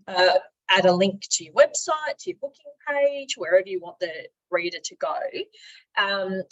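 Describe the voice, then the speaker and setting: An Australian woman presenting a conversational software training demonstration, explaining tips step by step and stressing the key points. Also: medium pitch. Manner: explanatory, emphasising tips, checking in with "right?". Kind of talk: instructional screen-share presentation, conversational. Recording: dull, boxy, echoey room sound.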